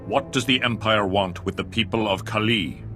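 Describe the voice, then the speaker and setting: An actor playing a proud, honour-bound alien war leader in a video game, formal, stern and declamatory. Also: male voice, lowish voice, moderate pace, bright, forceful tone, soft background music. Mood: stern, solemn. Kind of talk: video game cutscene acting.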